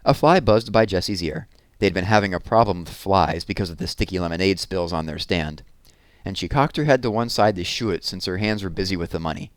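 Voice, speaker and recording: A man with a fairly low voice reads a children's novel aloud. He tells it expressively, stretching key words for emphasis. The recording is dry, with very little room sound. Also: a little fast, no echo. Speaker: storyteller reading aloud.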